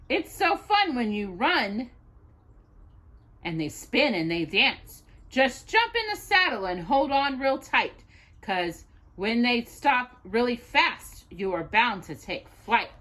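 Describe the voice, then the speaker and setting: A woman reading rhyming children's poems aloud as a storytime host, in an expressive sing-song way, as if to a child. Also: on the high side, takes it slowly.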